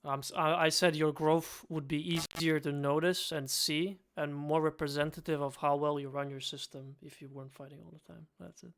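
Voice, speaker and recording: A man with a Serbian accent and a slightly higher pitch, with a gravelly, fry-laced voice. He talks bluntly in a casual podcast chat, joking and swearing offhand. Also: level tone, normal speed, hardly any pauses, a bit bright. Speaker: podcast co-host.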